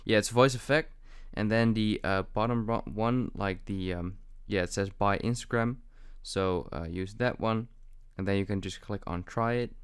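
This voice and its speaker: A man's voice: fairly low pitch, clear, resonant tone. A young man hosting a casual how-to walkthrough, speaking in an improvised, hesitant way with frequent fillers. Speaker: tutorial host.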